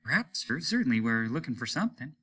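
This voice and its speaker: A man's voice: mid-range voice, sing-song, sharp tone, slightly rough, full character voice. Man voicing a hesitant, polite spaceman underling. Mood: deferential. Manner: halting, deferential, stammering. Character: polite, hesitant male subordinate spaceman, patient and dazed. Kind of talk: audiobook character voice.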